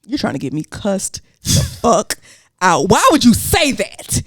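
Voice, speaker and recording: Woman, Southern accent, casual podcast chat, animated, dry sound. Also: medium pitch, measured pace, no room sound. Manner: animated, colloquial storytelling with slang and cursing. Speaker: podcast co-host.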